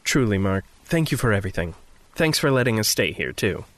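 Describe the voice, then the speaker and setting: Gentle, reassuring man with lively intonation. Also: on the low side, a little fast, no room sound. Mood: polite. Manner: careful, reassuring, considerate. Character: gentle, attentive, supportive male friend. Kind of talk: naturalistic audio drama acting.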